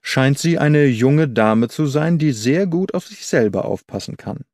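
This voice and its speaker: A man voicing a detective's loyal, warm-hearted gentleman companion in an earnest way. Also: mid-range voice, animated, unhurried, no room sound, clean studio sound, voice pushed up. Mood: admiring. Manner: earnest, appreciative.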